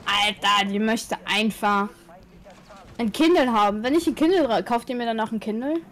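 A woman with a fairly high voice talks casually in a group voice chat. Her manner is teasing and informal. There is faint game noise behind her. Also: normal speed, fairly bright tone.

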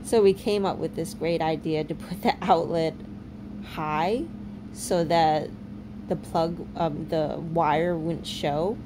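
A woman in her thirties narrating a home renovation video in a relaxed way, with many ums and uhs. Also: low voice, slow pace, noisy background. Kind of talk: casual voice-over narration.

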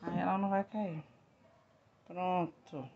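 A woman's voice: on the low side, fairly even intonation, takes it slowly, creaky, dull audio, slight background noise. A woman in her fifties chatting casually to her viewers from a small tiled home kitchen. She talks into a phone held close and keeps saying "gente" as she works. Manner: chatty, repeats "gente" often, pleading for likes. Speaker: home DIY vlogger. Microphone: phone camera held close.

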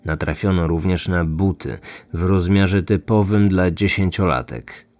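A man's voice: sits low, measured pace, a little mellow. A man reading a scripted true crime story as the narrator and host. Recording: music under the voice. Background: background music.

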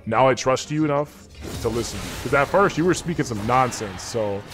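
Young male gamer, lively swinging pitch, cocky slangy banter on a close desk mic, music behind. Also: moderate pace. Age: 20s. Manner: cocky, slangy trash talk. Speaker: streamer playing as a trolling student. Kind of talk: casual gaming banter. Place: small indoor room. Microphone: large desk microphone up close.

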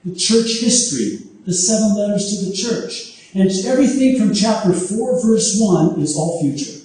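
A man preaching a sermon on prophecy whose first language is Arabic, speaking emphatically and at times rising to high exclamations. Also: expressive, normal speed, dark tone. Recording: echoey hall from far off.

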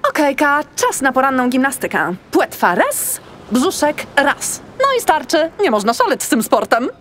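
A woman voicing a vain, lazy, excitable young female seal in a theatrical children's audiobook style. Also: sits high, moderate pace, a little hiss or hum. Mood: vain, carefree. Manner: self-admiring, theatrical.

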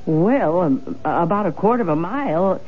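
A man's voice: sits high, expressive, normal speed. A man playing a concerned, solicitous small-town rescue helper in a 1970s radio drama. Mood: concerned, firm. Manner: urgent, solicitous. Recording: dull 1970s radio recording, music behind.

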